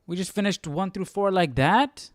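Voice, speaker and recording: A man's voice: sits high, normal speed, no echo, studio quality. A man hosting a live Bible study, talking informally and thinking aloud, often checking in with "right?". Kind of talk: casual, conversational livestream commentary.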